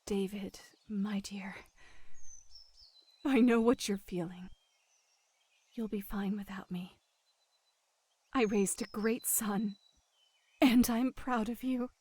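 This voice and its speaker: An actress playing a dying middle-aged mother in a game drama, speaking gently and consolingly with deep tenderness. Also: steady tone, breathy edge, dry recording. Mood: tender, sorrowful. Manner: gentle, slow, soothing. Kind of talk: emotional dramatic game voice acting.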